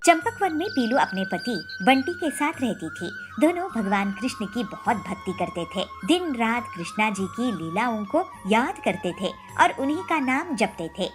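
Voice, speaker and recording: A woman's voice: a little high, sing-song, moderate pace, hardly any pauses. A woman narrating a scripted children's cartoon story. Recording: clear music bed under voice.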